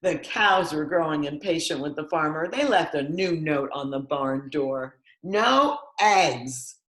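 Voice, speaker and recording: An older woman reading a story aloud to children with deliberate, expressive delivery. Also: deep voice. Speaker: school educator reading to pupils. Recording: echoey room.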